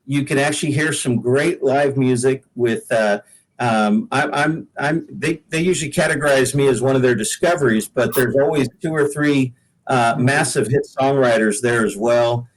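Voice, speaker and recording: A man's voice: mid-range voice, moderate pace, poor audio. A middle-aged American man from Oregon chatting casually as an interview guest, easygoing and jokey, on a laptop video call. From Oregon, United States. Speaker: guest singer-songwriter.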